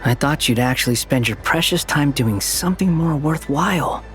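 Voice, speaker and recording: A man's voice: a little high, animated, moderate pace, fairly bright tone, soft background music. A man voicing a prickly, aloof young man in an anime game, sarcastic and dismissive. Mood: mocking. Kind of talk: anime game cutscene acting.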